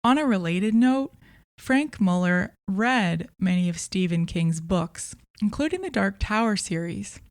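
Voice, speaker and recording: A woman presenting a scripted podcast review in a measured, clearly phrased way as the host. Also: medium pitch, expressive, no room sound, clean studio sound.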